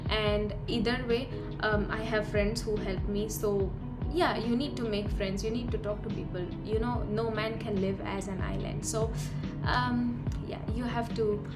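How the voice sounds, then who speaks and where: A woman's voice: mid-range voice, fairly even intonation, a little slow. Young woman giving casual student advice. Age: young adult. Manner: friendly advice-giving, conversational. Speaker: university student vlogger. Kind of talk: casual explanatory vlog. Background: loud background music.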